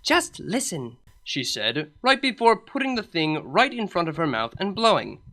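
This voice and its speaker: A woman's voice: lowish voice, sing-song, moderate pace, a bit bright. A woman narrating a comedic fan story aloud in a dry, wry manner. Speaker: fanfic reader.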